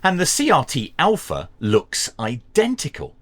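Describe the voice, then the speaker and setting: Man, very animated reviewer. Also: fairly high pitch, unhurried. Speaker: radio review channel host. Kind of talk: scripted product review narration.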